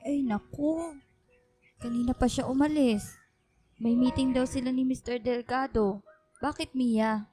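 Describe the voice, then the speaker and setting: A woman's voice: high voice, fairly even intonation, a little slow, long pauses, warm tone, faint music behind. A woman playing a caring household elder in a radio drama, with a motherly, reassuring manner. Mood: matter-of-fact concern.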